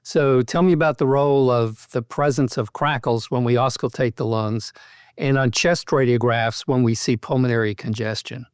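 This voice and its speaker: A man hosts a medical interview podcast with a smooth, crisp voice at a medium pitch. His questions are measured and articulate, and the sound is close and dry. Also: steady tone, no room sound. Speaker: podcast host and medical journal editor.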